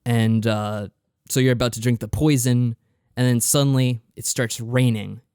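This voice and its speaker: A man's voice: medium pitch, fairly even intonation, normal speed, a little mellow, clean tone, no room sound, studio quality. A man co-hosting a podcast, casually discussing games in a mild, matter-of-fact way. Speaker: podcast co-host.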